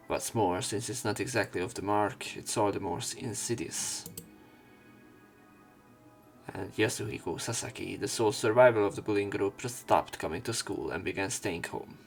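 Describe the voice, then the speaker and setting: Male let's player, low voice, visual novel narration over background music.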